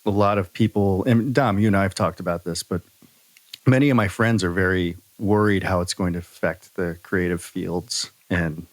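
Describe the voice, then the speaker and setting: A man hosting a podcast in casual conversation, earnest and halting, often repeating himself for emphasis on a close microphone. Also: low-pitched, no echo. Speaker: podcast host.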